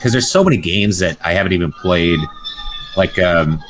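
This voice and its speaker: Deep-voiced man in casual, slangy chat. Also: animated, normal speed, a little hiss or hum.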